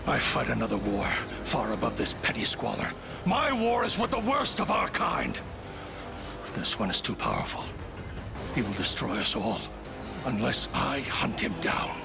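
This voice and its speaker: An actor playing a grim, imposing superhuman warrior in a video game, speaking gravelly and portentous with commanding authority. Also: male voice, medium pitch, huge pitch swings, normal speed, over music, exaggerated voice. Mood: commanding, fierce. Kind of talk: dramatic video game cutscene acting.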